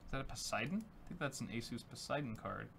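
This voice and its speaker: A man's voice: mid-range voice, expressive, fast talker, creaky, a bit bright. A young man co-hosting a tech show, casually chatting and reading viewer donations aloud with lots of fillers. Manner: upbeat, reads messages aloud with filler words. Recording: faint background noise.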